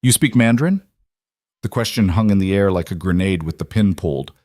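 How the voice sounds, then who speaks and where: A man narrating a dramatic story in a steady, scripted storytelling manner. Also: low voice, fast talker, dry recording, clean studio sound.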